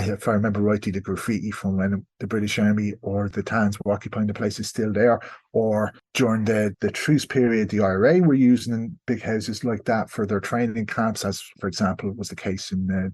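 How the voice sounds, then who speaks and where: A man's voice: on the low side, a little mellow, husky, dry recording. A man speaking as a historian guest, explaining things informally and in a considered way, with asides and examples.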